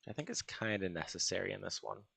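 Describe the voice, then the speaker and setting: A man with a gravelly voice giving casual gaming commentary as he builds, musing and hesitating as he talks to himself. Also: deep voice, fast talker, pushed and bright, no room sound. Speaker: gaming video host. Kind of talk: casual Minecraft building commentary, thinking aloud.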